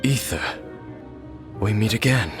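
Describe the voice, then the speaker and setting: A man's voice: fairly low pitch, loud music behind the voice, close to an everyday voice. Man playing a solemn young game prince. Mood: grave surprise. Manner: solemn, measured, earnest. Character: solemn young prince, long-lost brother, resolute and world-weary. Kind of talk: dramatic video game voice acting.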